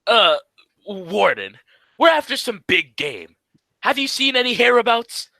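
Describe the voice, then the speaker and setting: A man acts out a bossy straight man from a radio comedy duo. His voice is very high, bright and swooping. He is brisk and scolding, forever exasperated with his partner. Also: big pitch swings, gravelly, full character voice. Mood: inquiring. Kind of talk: radio comedy sketch acting.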